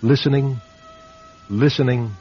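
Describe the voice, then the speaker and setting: A man hosting a radio drama with measured, suspenseful scripted narration. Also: medium pitch, steady tone. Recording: muffled old radio with music. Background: loud music.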